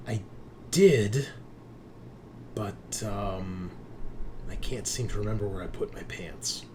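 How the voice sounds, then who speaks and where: A man's voice: on the low side, slow pace, pauses often. Befuddled, friendly male castaway doctor voiced by a game master, hesitant, forgetful, some background noise. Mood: flustered, vague. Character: confused pantsless doctor hiding upstairs, muddled and friendly. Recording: noisy room recording.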